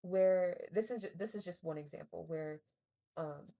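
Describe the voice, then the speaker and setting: A woman with a low, mellow voice and lots of vocal fry. She co-hosts an easygoing podcast conversation and gives her views in a thoughtful, hedging way. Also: normal speed. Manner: relaxed, thoughtful, offering opinions with hedges. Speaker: podcast co-host. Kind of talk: casual philosophical chat.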